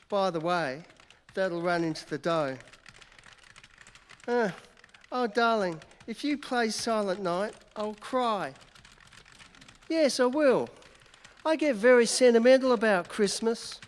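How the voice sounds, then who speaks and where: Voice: medium pitch, takes it slowly, long pauses, lightly acted. A stage performer playing a cheerful young male newspaper reporter in a live radio play, teasing and fond on the phone. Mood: affectionate. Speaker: amateur radio play actor. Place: concert hall stage. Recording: long reverb of a concert hall.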